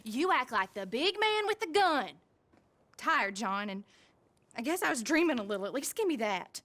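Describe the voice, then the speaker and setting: A woman's voice: high voice, very animated, normal speed, a bit bright. An actress playing a hardened American frontier wife and mother, frank and rural in speech, in a game drama. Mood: fed up. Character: hardened, plain-spoken frontier wife and mother, fed up yet hopeful. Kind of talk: naturalistic video game drama acting.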